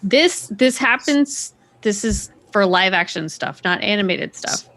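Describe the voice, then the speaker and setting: A woman's voice: mid-range voice, expressive, unhurried, fairly bright tone, slight background noise. A woman co-hosting a casual chat, playfully posing a multiple-choice question to the group. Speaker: podcast co-host running a quiz.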